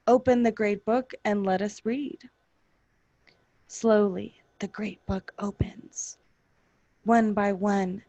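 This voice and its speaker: A woman playing a solemn, ominous narrator in the style of 1940s radio drama, slow and theatrical. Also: on the high side, no room sound.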